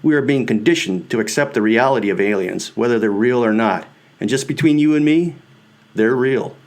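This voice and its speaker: A man's voice: medium pitch, a little fast, darkish tone, natural voice. A man reading as an earnest, grave conspiracy theorist, insistent and confiding, in an audiobook.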